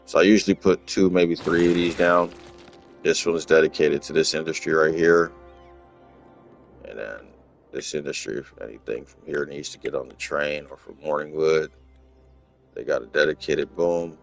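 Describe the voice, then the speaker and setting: A man from Los Angeles, casually talking through his game in a rambling, relaxed way, often repeating words. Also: extremely low pitch, normal speed, vocal fry, soft background music. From Los Angeles, USA. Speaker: gaming channel host. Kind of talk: casual gameplay walkthrough commentary.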